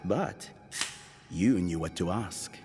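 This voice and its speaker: An actor playing a suspicious, accusing company assassin who interrogates a coworker in a comedic audio drama. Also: male voice, deep voice, animated, slow pace, warm tone, faint music behind. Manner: accusing, insistent.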